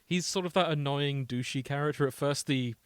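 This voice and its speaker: A man's voice: fairly high pitch, a little fast, clean tone, dry recording. A man co-hosting a podcast in casual chat, explaining things and feeling around for the right phrasing. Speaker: podcast co-host. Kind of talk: casual chat about a TV show.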